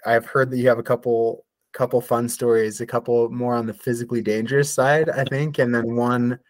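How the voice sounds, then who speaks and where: A man's voice: mid-range voice, level tone, brisk, no room sound. A man hosting an interview, asking friendly questions in an upbeat, encouraging way. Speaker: podcast host, interviewer.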